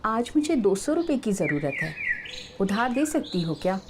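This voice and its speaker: A woman's voice: medium pitch, very animated, moderate pace, natural voice. Lady storyteller doing a crafty shameless swindler woman, insistent and theatrical, noisy audio. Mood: polite, requesting. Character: cunning brazen swindler woman, pleading then scornfully denying.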